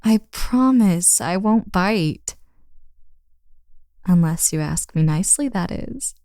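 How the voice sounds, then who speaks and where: A woman's voice: on the low side, expressive, slow, mellow, dry recording. Girl acting as a teasing confident young woman, playful and casual, takes her time, really dry close audio, roleplay drama. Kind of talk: intimate roleplay audio drama, addressed to listener.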